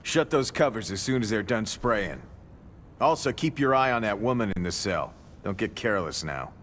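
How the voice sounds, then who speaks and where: An actor playing a brusque, businesslike base guard giving orders in a video game. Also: male voice, on the low side, expressive, moderate pace, fairly bright tone, slight background noise. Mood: businesslike, stern. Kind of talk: dramatic video game voice acting.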